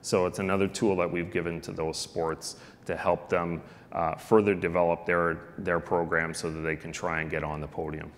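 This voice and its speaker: A middle-aged man presents at a conference lectern in a very low voice, speaking conversationally and pausing on ums and uhs. His voice rings out with a long reverb through a large hall. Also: little pitch movement, slight background noise. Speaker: keynote speaker on sports analytics. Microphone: lectern microphone.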